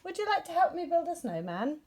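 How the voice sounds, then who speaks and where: A woman voicing a gentle, curious female bear in a children's storybook, naive and cautious. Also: very high-pitched, very animated, a little fast, a little hiss or hum, voice pushed up. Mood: hopeful.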